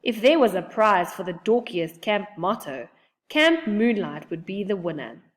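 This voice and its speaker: Lady doing a sulky nervous bigfoot kid, squeaky n whiny, pitch jumps around, storybook. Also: big pitch swings, unhurried. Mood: worried, whiny. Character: awkward, anxious, sulky young bigfoot boy, huge for age.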